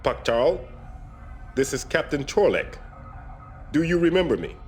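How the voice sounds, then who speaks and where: A man's voice: a little high, big pitch swings, measured pace, faint music behind. A man playing a dutiful young Klingon captain in a video game, speaking formally, firmly and with restraint. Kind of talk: video game cutscene acting.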